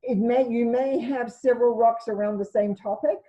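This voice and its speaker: A woman with a loud voice giving an informal spiritual talk to an online group and answering questions, often correcting herself as she goes. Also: a little high, steady tone, moderate pace, rough recording. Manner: rambling, self-correcting delivery. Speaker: spiritual teacher hosting an online session. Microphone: video call.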